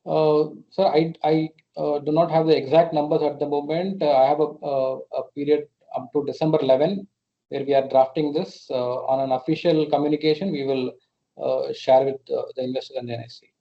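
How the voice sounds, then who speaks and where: Man, Indian accent, fairly high voice, hesitant executive explaining, repeated words, dull conference-call audio. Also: fairly even intonation, a little fast. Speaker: company executive answering investor questions. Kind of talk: unscripted earnings call answers. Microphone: conference call connection. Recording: muffled, band-limited conference call audio.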